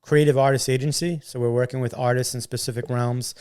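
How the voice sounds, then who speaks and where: Earnest man with a flat, smooth voice. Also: mid-range voice, a little fast. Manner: earnest and upbeat, repeats words, many fillers. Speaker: guest, entrepreneur. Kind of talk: casual interview chat.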